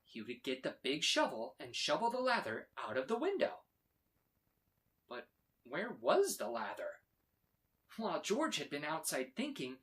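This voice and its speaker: A man reading a children's story aloud with expressive, dramatic emphasis. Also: fairly high pitch. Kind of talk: animated read-aloud narration for children.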